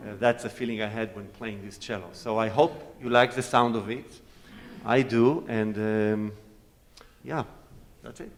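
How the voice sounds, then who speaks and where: A man's voice: lowish voice, steady tone, a little slow, distant mic. Hebrew-speaking cellist man, echoey hall. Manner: friendly, explanatory, demonstrates as he talks. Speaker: guest cellist. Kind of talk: Q&A talk with a concert audience. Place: concert hall.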